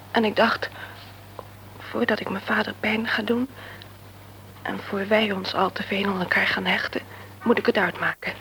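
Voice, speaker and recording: An actress playing a remorseful young woman in a radio drama, speaking softly in a breathy half-whisper. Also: fairly high pitch, a little hiss or hum, poor audio. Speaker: young woman ending a romance.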